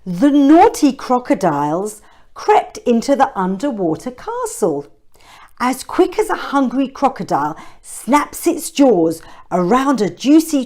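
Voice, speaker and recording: Woman, read-aloud storyteller, unhurried pace, swooping expressive delivery, chatty asides to kids. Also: a little high. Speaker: children's storybook reader. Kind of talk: read-aloud storytelling with questions to young listeners.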